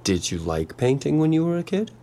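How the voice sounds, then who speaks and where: An actor playing an awkward, lonely botanist in an audio drama, earnest and stammering, with a husky voice and formal wording. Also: medium pitch, big pitch swings, brisk, mellow. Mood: curious, earnest. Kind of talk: naturalistic audio drama dialogue.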